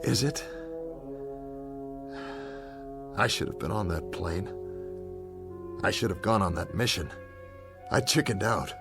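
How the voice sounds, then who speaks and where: An actor playing a haunted American former Air Force captain in his forties, a guilt-ridden patient who speaks haltingly and repeats himself in a radio drama. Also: sits low, expressive. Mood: guilt-ridden, brooding. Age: 40s. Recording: studio radio drama with music underneath.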